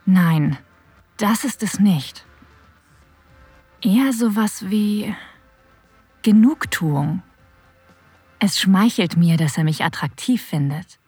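A woman playing a flirtatious, adventurous narrator of an erotic audio drama, with a breathy voice that often drops toward a whisper. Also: mid-range voice, a little mellow, no echo, full character voice. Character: flirtatious, adventurous woman telling her own erotic story. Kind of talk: intimate first-person scripted narration.

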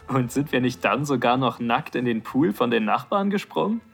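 A man playing a playful, flirtatious best friend in an erotic audio drama, with a husky voice. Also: mid-range voice, animated, moderate pace, a bit bright, full character voice. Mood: playful, teasing.

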